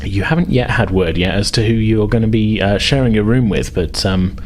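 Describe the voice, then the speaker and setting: A man with a very low voice narrates a tabletop role-playing game. He feels his way through scenes with hesitant phrasing as game master. There is faint noise behind him. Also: brisk.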